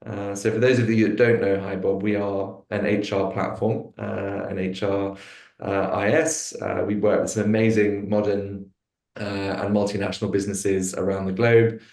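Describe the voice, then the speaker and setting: Man, webinar host, low voice, lots of ums and restarts, roomy echo. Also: little pitch movement, moderate pace, gravelly, darkish tone. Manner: hesitant, many fillers and restarts. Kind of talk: corporate webinar hosting. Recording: echoey room.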